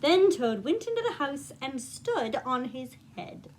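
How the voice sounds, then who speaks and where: A high-voiced woman tells stories to children with lively swings of pitch. She is playful and chatty, speaking to her young listeners as friends. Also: unhurried, slight background noise. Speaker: children's storyteller and show host. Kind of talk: animated storybook reading and chat to young viewers.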